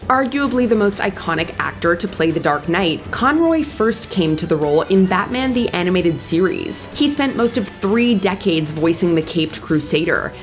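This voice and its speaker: Low-pitched woman narrating a countdown. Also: animated, moderate pace, hardly any pauses. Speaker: narrator and host of a countdown video. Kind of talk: scripted narration of a top ten list. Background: background music.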